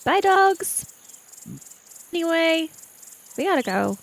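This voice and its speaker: High-pitched woman, unhurried game player. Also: big pitch swings. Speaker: player in a tabletop roleplaying game. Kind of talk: casual in-character table chat.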